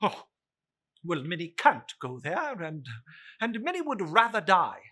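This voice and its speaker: A portly, jovial Victorian charity collector acted by a man in a storybook reading. He is effusively polite and hesitant, with a lively, swooping pitch and a slightly rough, breathy tone. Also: a little slow, exaggerated voice. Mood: pleading.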